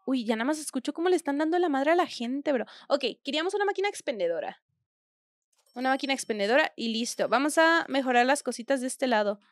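A woman's voice: high-pitched, lively intonation, quick pace, no echo. A young woman with a Mexican accent, chatting casually and thinking aloud while she plays a game on stream. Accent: Mexican Spanish. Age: young adult. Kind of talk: casual gameplay commentary. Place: small indoor room at home.

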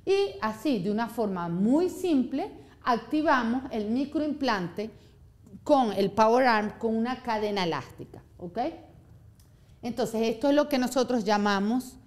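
A woman's voice: fairly high pitch, measured pace. A woman in her thirties, an orthodontist, giving a live seminar to a small room and often checking in with "¿ok?". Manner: animated, gestures a lot, checks in with "¿ok?". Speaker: orthodontist lecturer.

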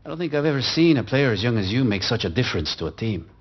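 A man playing a middle-aged football coach in a video game, intense and burdened by regret, speaking in a dramatic, measured way with emphatic pauses. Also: mid-range voice, big pitch swings, husky, lo-fi sound. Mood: calm approving. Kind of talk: cinematic game acting, motivational speech.

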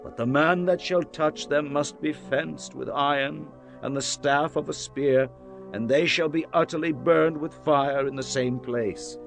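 A man's voice: fairly high pitch, creaky, faint music behind. Solemn, declamatory old biblical king. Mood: solemn, exalted. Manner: declamatory, deliberate. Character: aging biblical king David, solemn and devout. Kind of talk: dramatized scripture reading.

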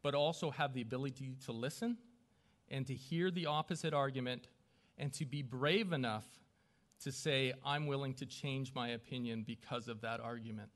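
Bright-voiced man chairing a council meeting. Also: medium pitch. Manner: halting, self-deprecating, stumbling over names. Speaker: newly elected mayor chairing a council meeting. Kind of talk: formal meeting procedure with informal, joking asides. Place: council chamber with a small audience.

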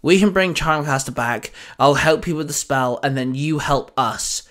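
A man's voice: fairly high pitch, normal speed, fairly bright tone, lightly acted. A man voicing a determined young heroine in a narrated story, with a pressing, snappy manner. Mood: urgent.

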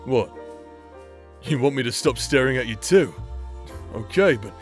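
A man's voice: medium pitch, animated, unhurried, close to an everyday voice. A man playing a brash, teasing knight captain, a loyal younger brother, in an anime-style romance audio drama, talking in an informal, playfully sarcastic way. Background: background music.

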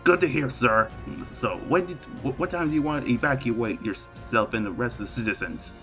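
A man's voice: mid-range voice, brisk, lo-fi sound, music in the background, close to an everyday voice. A man playing a polite, urging military commander in an improvised comedy parody dub, with a businesslike manner. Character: brisk, deferential male military commander advising a president.

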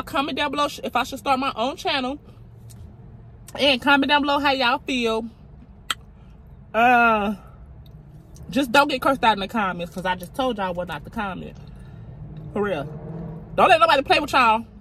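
A blunt, chatty young woman talks straight to her phone camera from a parked car. Her voice is bright and forward, with a faint hum of car ambience behind her. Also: high voice, lively intonation, normal speed, pauses often. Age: 20s. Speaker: vlogger. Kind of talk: casual talk to camera. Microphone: phone camera on dashboard.

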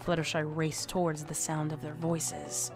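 A woman narrating a scripted horror story in a measured, dramatic storytelling manner. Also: low voice, flat delivery, over music.

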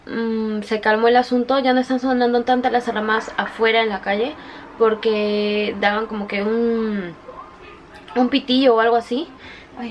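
A woman's voice: a little high, rough recording. A young woman chats casually and confidingly to her vlog viewers, often addressing them directly, into a camera at arm's length. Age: young adult. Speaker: vlogger. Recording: clear background noise, handheld camera.

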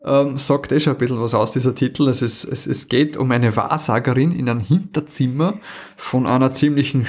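A man's voice: medium pitch, creaky, breathy edge. A man, co-hosting a podcast in casual chat, laughing often as he recounts a story. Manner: lively, laughs often, restarts sentences. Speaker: podcast co-host. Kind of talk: casual chat about audio dramas.

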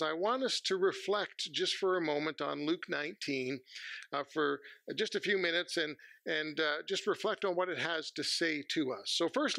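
A man preaches from a pulpit in a slightly high, gravelly voice. His tone is bright and forceful, and the sound is dry and close on a headset mic. He speaks in a measured, earnest way, pausing between phrases. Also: dry recording. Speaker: pastor. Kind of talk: sermon. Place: church sanctuary, at a pulpit.

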